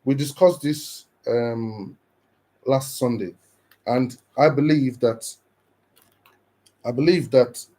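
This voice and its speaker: An earnest man from Nigeria hosts a motivational live stream. His voice sits at a middle pitch, and he encourages his viewers steadily. He often stumbles, repeats and restarts his words as he goes. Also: slow pace, long pauses, mellow.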